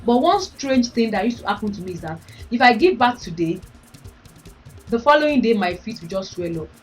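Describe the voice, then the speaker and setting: A woman in her thirties with a Nigerian accent and a fairly deep voice, chatting frankly to her viewers. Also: very animated, fairly quick. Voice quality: deeper voice since having babies. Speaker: vlogger. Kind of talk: casual chat to camera. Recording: voice a bit far from mic, faint music.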